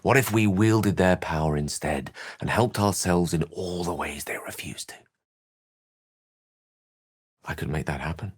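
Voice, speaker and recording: A man's voice: low voice, moderate pace, husky, dry recording, clean studio sound. An actor playing an eloquent, learned wizard in a video game, articulate and bookish, wry and earnest in his florid phrasing. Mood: yearning, ambitious. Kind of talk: scripted video game character dialogue.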